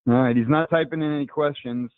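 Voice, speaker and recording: A man hosting a video call, giving brisk and direct instructions. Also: on the high side, steady tone, clear, resonant tone, dry recording. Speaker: call host. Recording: dry tinny phone-like line.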